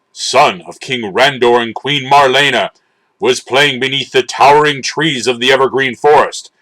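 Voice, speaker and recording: A man giving a dramatic, theatrical and deliberate scripted narration of a children's storybook. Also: medium pitch, a bit bright, low-quality recording.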